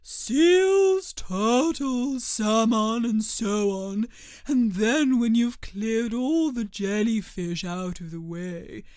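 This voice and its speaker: Woman as melodramatic old turtle creature, storybook character, drawn-out slow words, dry sound. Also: a little high, a little mellow, no room sound. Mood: excited. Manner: slow, drawn-out, swooping delivery. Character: melodramatic, sentimental old Mock Turtle, excitable then mournful.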